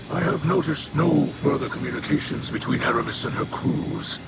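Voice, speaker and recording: A man playing a solemn, protective alien leader and troubled father in a video game, gravelly, formal and grave. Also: medium pitch, very noisy, lightly acted. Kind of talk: video game mission narration.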